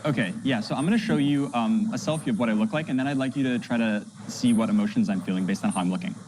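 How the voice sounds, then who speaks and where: A man's voice: mid-range voice, fast talker, noisy background. A man giving a casual live demonstration, upbeat and joking in manner. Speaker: demo presenter.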